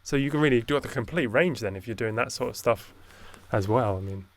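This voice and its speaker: A man interviewing in a casual conversation, deep-voiced, soft-spoken and hesitant, often trailing off mid-thought. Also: fairly quick.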